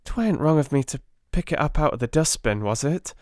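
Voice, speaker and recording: Man as a timid servant girl, raised pitch. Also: expressive, brisk, darkish tone, no room sound, clean studio sound, close to an everyday voice. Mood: anxious, earnest. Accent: working-class English dialect. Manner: stammering, deferential. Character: humble, timid, eager young servant girl.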